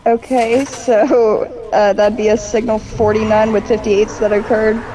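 A woman in her thirties on casual gaming voice chat, calm and matter-of-fact. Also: on the high side, unhurried, noisy background. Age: 30s. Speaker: random teammate.

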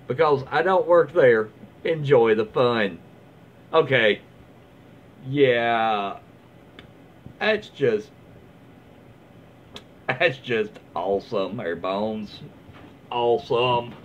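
A middle-aged man casually reading online stories aloud and adding his own comments, in an informal, halting way. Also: mid-range voice. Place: small room at home, indoors, seated in armchair. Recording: dull lo-fi home recording, faint noise.

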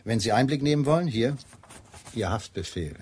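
Radio drama: composed police inspector, husky mid-pitched voice, polite but firm authority. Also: male voice, expressive, normal speed, darkish tone. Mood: coolly triumphant. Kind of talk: German radio drama acting.

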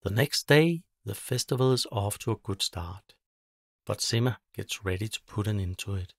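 Gravelly male bedtime-story narrator. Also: fairly low pitch, expressive, moderate pace, dry recording, studio quality.

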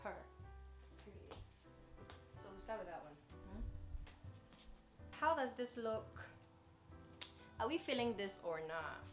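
A woman's voice: mid-range voice, very animated, takes it slowly, pauses often, faint music behind. A woman in her twenties talks casually to her vlog audience in a mid-range voice. She is chatty and playful, speaking directly to viewers from a bedroom. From Ghana. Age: 20s. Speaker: vlogger. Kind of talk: casual vlog chat to camera. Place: bedroom, indoors.

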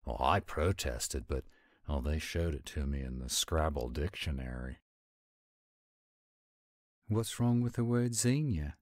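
Very low male werewolf, played by a man, tender sweetheart, unhurried delivery, romantic roleplay audio, dry close sound. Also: animated, dry recording. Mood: puzzled, conceding. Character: affectionate, gruff-but-sweet male werewolf boyfriend. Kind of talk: romantic audio roleplay, one-sided intimate dialogue.

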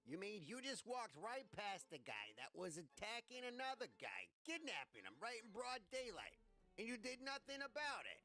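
A man's voice: high voice, huge pitch swings, moderate pace, sharp tone, heavily acted. A man voicing a fussy, exasperated, impatient male officer and schemer in an amateur cartoon. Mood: pressing. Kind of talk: amateur animated cartoon voice acting. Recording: music behind the voice.

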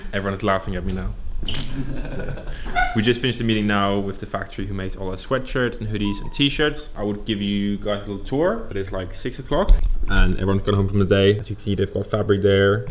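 A man's voice: fairly low pitch, background noise. A man in his twenties talking casually and informally to camera as a vlogger, sometimes swearing. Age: 20s. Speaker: vlogger and clothing brand owner. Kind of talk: casual vlog talk to camera.

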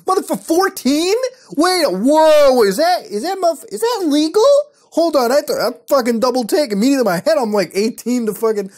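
A man's voice: extremely high pitch, lively intonation, a little fast, darkish tone. A young man hosting his show from a small room at night, reacting to websites with wry, profane commentary. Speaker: host. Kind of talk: casual commentary reading websites.

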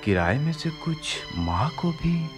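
An actor playing a practical, persuasive younger brother in a family radio play, reasoning and coaxing. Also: male voice, on the high side, sing-song, takes it slowly, over music. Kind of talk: Hindi radio play acting.

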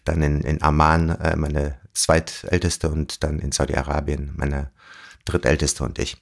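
A man in his forties from Germany, telling his life story as an interview guest in a thoughtful way, with pauses and fillers. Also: a bass voice, monotone, gravelly. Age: 40s. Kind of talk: reflective storytelling.

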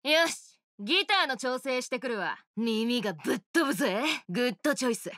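A woman voicing a brash, swaggering female oni rock star guitarist, with rough tough-girl speech in game voice lines. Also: on the high side, animated, a little fast, fairly bright tone, no echo, clean studio sound. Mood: cocky. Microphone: studio mic, dry.

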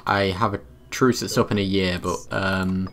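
A man's voice: sits low, fairly even intonation, normal speed, a bit bright. A man casually chatting and bantering with friends over voice chat while playing a strategy game, swearing freely. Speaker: player in a multiplayer strategy game.

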